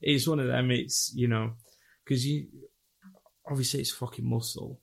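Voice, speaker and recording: A man in his thirties with a raspy voice, a band vocalist chatting casually as a podcast guest. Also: mid-range voice, fairly even intonation, moderate pace. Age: 30s. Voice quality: raspy, gravelly. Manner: laid-back, swears casually, laughs often. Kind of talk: casual chat.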